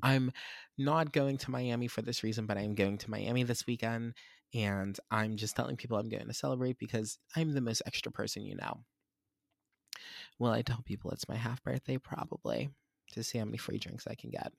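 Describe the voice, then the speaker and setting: A man's voice: medium pitch, fairly even intonation, a little fast, creaky, no room sound, clean studio sound. A man, hosting a solo podcast and reflecting in a candid, rambling, self-searching way. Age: 20s. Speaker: podcast host.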